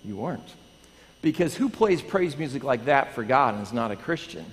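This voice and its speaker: A man in his thirties, a pastor, preaching in a lively, animated way and questioning his congregation. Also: medium pitch, normal speed. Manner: animated, pacing the stage, asking the congregation. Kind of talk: lively sermon with prayer. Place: large church sanctuary. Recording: long church hall reverb.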